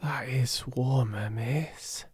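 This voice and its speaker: A man voicing a timid, downtrodden young servant girl, hoarse and eager, with a working-class English accent. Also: medium pitch, very slow, no echo, clean studio sound, voice pushed up, full character voice. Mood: grateful.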